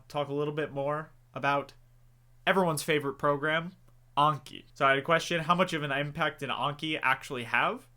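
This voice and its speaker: A man chatting to camera in a relaxed, rambling way, answering viewer questions with joking asides. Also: mid-range voice. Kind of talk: casual Q&A chat to camera.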